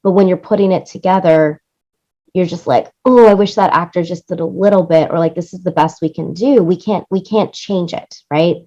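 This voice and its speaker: Casting: female interview guest, lower register, conversational and demonstrative, slightly dull low-fidelity sound. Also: moderate pace. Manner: animated explaining, acts out quoted lines, seeks agreement with "right?". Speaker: interview guest, music supervisor and audio producer.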